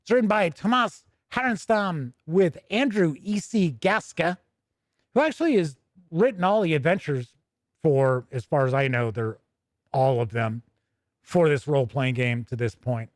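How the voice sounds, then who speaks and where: Higher-pitched American man, casual streamer. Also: animated, measured pace, dry recording, studio quality. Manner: chatty, conversational, with playful asides. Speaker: host of a tabletop gaming show. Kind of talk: casual livestream commentary.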